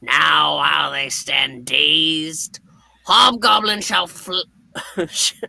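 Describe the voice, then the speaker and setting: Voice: mid-range voice, steady tone, drawn-out words, vocal fry, pushed and bright, no echo, full character voice. A performer voicing a gloating, ranting male hobgoblin supervillain in a grandiose way, as in a live comic book reading. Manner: theatrical, grandiose.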